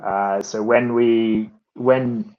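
A man with an Australian accent, a startup co-founder answering interview questions in a relaxed, hesitant way with many filler sounds. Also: on the low side, extremely slow, highs cut off. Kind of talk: casual interview chat.

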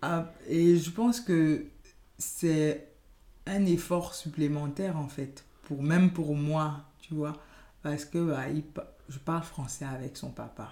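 Thoughtful woman with a mellow voice. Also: low voice, steady tone, slow pace. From Benin. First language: Yoruba. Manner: hesitant, many fillers and restarts, thoughtful. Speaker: podcast guest. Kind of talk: conversational interview. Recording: echoey room.

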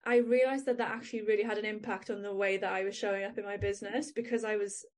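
A woman's voice: medium pitch, steady tone, quick pace, darkish tone. A young adult woman with a Welsh accent, talking candidly and chattily as a podcast co-host, laughing often. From Wales. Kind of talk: casual chat.

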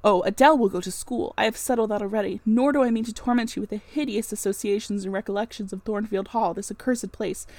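A woman reading a classic novel aloud, voicing an impassioned, brooding married gentleman in long theatrical speeches. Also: medium pitch, fairly even intonation, fast, few pauses, no echo, close to an everyday voice. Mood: anguished. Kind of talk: dramatic audiobook-style reading of a classic novel.

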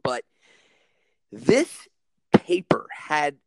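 A man's voice: on the high side, very animated, extremely slow, dry recording. A man co-hosting a podcast, who teaches school, talking earnestly in a rambling way with many restarts and a commanding voice. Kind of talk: casual podcast chat.

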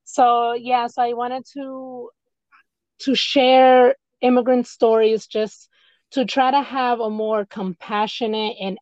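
A woman's voice: fairly high pitch, little pitch movement, slow, darkish tone, no room sound, dull audio. A woman with an American accent hosting a podcast, speaking in an informal, conversational way. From United States. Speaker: podcast host. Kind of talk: casual interview chat.

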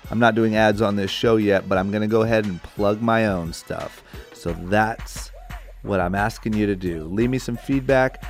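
Male podcast host, low-pitched, eager and rambling, casual. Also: normal speed, faint music behind. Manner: eager, rambling, many fillers and restarts. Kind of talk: casual interview chat.

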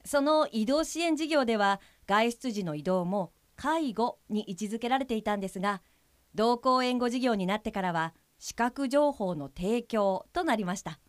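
A woman's voice: a little high, expressive, moderate pace, clear, resonant tone, dry recording, lightly acted. A woman playing an earnest guide helper for blind people in an audio drama, explaining in a polite, businesslike manner. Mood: polite, explanatory.

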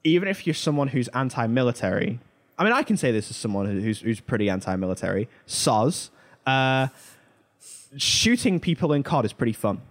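A man's voice: mid-range voice, very animated, fairly quick. A man co-hosting a pop culture podcast, chatting informally and giving his opinions. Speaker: podcast co-host. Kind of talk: casual pop culture chat.

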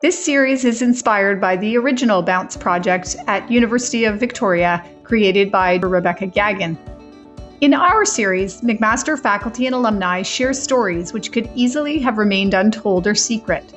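A woman's voice: mid-range voice, lively intonation, normal speed, faint music behind. Woman hosting a podcast. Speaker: podcast host. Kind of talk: scripted intro then casual interview.

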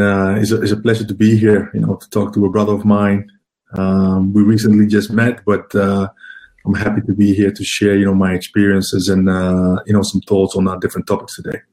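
A man in his forties from Ghana, a guest in an interview, answering in a halting way with many fillers and repeated words. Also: lowish voice, level tone, darkish tone. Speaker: guest, HR professional.

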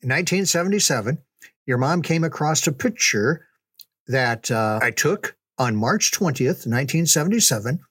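An older man casually recounting family memories in a halting, unhurried storytelling way. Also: fairly high pitch, lively intonation, a bit bright, breathy edge, dry recording. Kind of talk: casual reminiscing.

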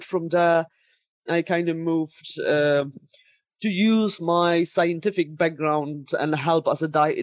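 A woman's voice: sits low, dry recording, clean studio sound. A Polish woman in her thirties, a game designer talking casually in a podcast interview, self-deprecating and laughing often. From Poland.

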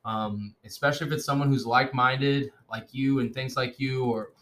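A man's voice: medium pitch, fairly even intonation, moderate pace, a bit bright. A young man hosting a casual podcast, upbeat and joking, with plenty of fillers. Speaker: podcast host.

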